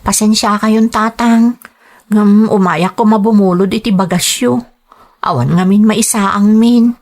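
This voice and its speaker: A woman's voice: mid-range voice, steady tone, measured pace, darkish tone. A woman voicing a nagging, pleading young wife in a poor household, in an audio drama. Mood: pleading, apologetic. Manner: nagging, questioning. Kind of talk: serial audio drama acting.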